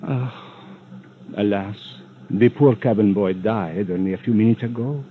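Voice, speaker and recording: A man's voice: fairly low pitch, unhurried, narrow, tinny audio, lightly acted. Suave radio-drama villain played by a man, wealthy and shady, oily formal politeness, thin noisy vintage broadcast sound. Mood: mock regret. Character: suave, smugly menacing villain, wealthy shady yacht owner. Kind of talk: 1950s radio drama acting.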